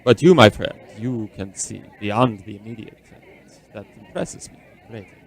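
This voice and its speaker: An actor in a radio drama playing a weary, secretive, centuries-old immortal man who speaks in formal, measured, eloquent phrases. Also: lowish voice, animated, vocal fry, slightly rough, full character voice. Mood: courteous, guarded. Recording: orchestral film music underneath.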